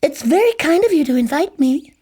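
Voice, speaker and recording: A man's voice: very high-pitched, big pitch swings, a little fast, a little mellow, pitched above the performer's own voice. A man voicing a polite, earnest, well-mannered little girl in a fairy tale reading. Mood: polite, eager.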